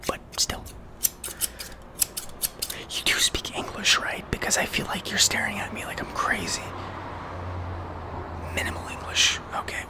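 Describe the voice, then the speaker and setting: A man playing a friendly, awkward, down-on-his-luck barber on the run, rambling haltingly in an ASMR roleplay with a breathy whisper. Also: natural voice. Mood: awkward, resigned. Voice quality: breathy whisper with some fry.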